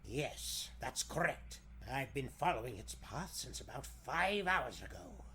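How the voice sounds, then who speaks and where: A man voicing an elderly, scholarly astronomy professor in a 1940s radio drama, deliberate and secretive, speaking in halting, pondering phrases. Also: mid-range voice, big pitch swings, bright, forceful tone, voice pushed down. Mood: businesslike.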